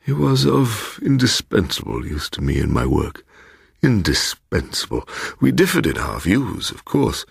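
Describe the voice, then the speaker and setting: A man voicing a pompous, insinuating, sinister gentleman obsessed with the occult in a dramatised audiobook. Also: lowish voice, sing-song, unhurried, creaky, breathy edge, no room sound, clean studio sound, heavily acted. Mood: aggrieved, self-justifying.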